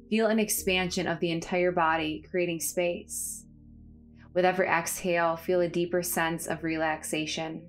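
A woman leading a guided body scan meditation in a calm, soothing, measured way, leaving pauses between phrases. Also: fairly low pitch. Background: background music.

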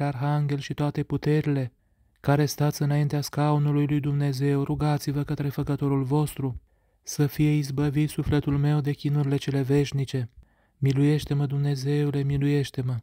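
A man reading a long penitential prayer aloud in a solemn, steady, measured recitation. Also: a little high, no echo. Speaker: prayer reader.

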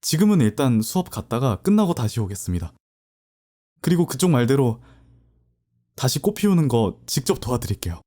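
A man's voice: medium pitch, very animated, brisk, pauses often, dark tone. A man playing a polite, stiff young male student who speaks formally and apologetically. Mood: earnest. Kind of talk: amateur audio drama acting.

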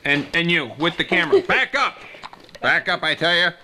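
A man's voice: on the high side, expressive, moderate pace, bright, forceful tone. A man voicing a wisecracking baby girl doing an improvised stand-up monologue in the manner of an old-school nightclub comic. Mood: brash, bantering. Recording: noisy amateur home video with clicks.

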